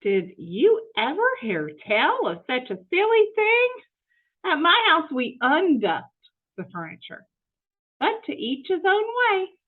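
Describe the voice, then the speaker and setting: A woman plays a cheerful, literal-minded housemaid in a children's storybook. She speaks slowly in a high, very animated voice, full of folksy exclamations and naive wonder. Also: phone-quality sound, heavily acted. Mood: puzzled. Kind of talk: children's storybook read-aloud.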